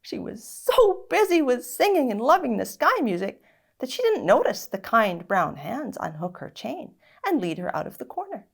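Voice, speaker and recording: A woman reading a children's story aloud in an expressive, dramatic narrating style. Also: fairly high pitch, moderate pace, vocal fry. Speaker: story reader.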